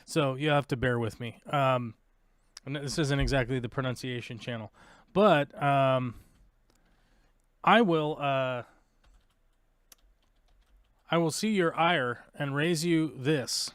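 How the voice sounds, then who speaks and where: A man's voice: mid-range voice, slow, long pauses, clean tone, no echo, studio quality. Rambling, chatty American man. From United States. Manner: rambling, joking, many fillers. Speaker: live show host. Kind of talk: casual live-stream chat.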